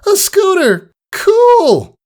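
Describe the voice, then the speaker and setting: A man voicing an eager, excitable little girl pony from a cartoon. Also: very high voice, extremely slow, voice pushed up, heavily acted. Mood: thrilled, pleading.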